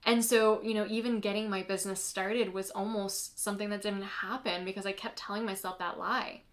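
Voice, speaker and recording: Woman, podcast host, business coach, first language Polish, chatty, earnest, average pitch. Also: steady tone, fairly quick. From United States. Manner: chatty and earnest, with frequent "like" and "you know". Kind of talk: casual solo podcast monologue.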